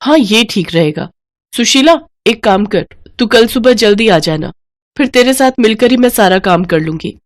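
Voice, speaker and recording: A woman voicing a haughty rich mistress of the house in a sharp, bossy, accusing manner for a children's story. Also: fairly high pitch, lively intonation, moderate pace, close to an everyday voice. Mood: fretting, bossy.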